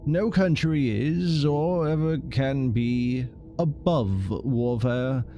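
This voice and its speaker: A man's voice: medium pitch, slow pace, dark tone, faint music behind. A man streaming a game, commenting casually and reading text aloud.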